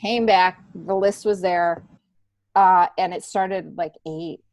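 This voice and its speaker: A middle-aged American woman telling stories casually as a guest, in a halting, animated way that often restarts sentences. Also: lowish voice, no room sound. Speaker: podcast guest, stand-up comedian. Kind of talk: casual conversational storytelling.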